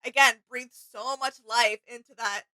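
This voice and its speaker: A woman's voice: fairly high pitch, steady tone, a little slow, pushed and bright, low-quality recording. A woman co-hosting casual reaction commentary, speaking in an agreeable, appreciative way. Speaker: reaction video co-host. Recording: faint music behind. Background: music.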